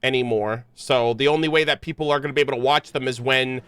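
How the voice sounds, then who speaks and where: A man chatting casually on a livestream as he streams games, rambling along in a halting way. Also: medium pitch, steady tone, a bit bright, clean tone. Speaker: game streamer. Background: background music.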